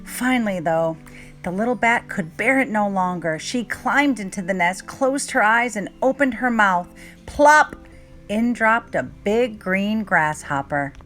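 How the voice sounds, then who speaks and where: A woman narrates a children's storybook in an expressive read-aloud style. Her medium-pitched voice rises and falls freely, with deliberate dramatic emphasis, and music plays faintly behind her. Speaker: storybook reader.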